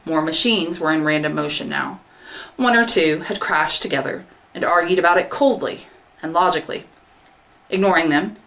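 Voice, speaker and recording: A woman's voice: deep voice, a bit bright, rough recording. A woman English teacher reading a short story aloud as scripted narration.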